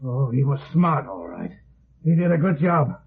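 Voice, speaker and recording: An actor playing a vengeful, bitter older killer in a 1950s radio drama, ranting melodramatically on a muffled vintage recording. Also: male voice, medium pitch, very animated, normal speed, creaky, slightly rough, heavily acted.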